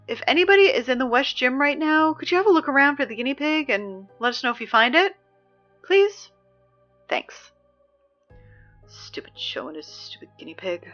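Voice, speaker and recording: A woman's voice: a little high, bright, forceful tone, lo-fi sound. A woman playing an exasperated teenage girl who reads the school morning announcements, sarcastic and easily flustered. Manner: sarcastic, flustered, prone to outbursts. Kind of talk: comedic audio drama acting.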